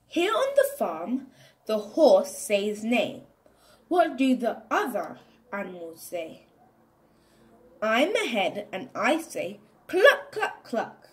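A woman reading a children's picture book aloud in an expressive, deliberate, sing-song way. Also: medium pitch. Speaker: storybook reader for children.

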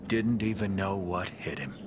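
Very deep, hearty tavern drinker. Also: male voice, expressive, moderate pace, noisy background. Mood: grateful. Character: grateful, hearty male tavern drinker toasting a friend. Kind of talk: video game dialogue.

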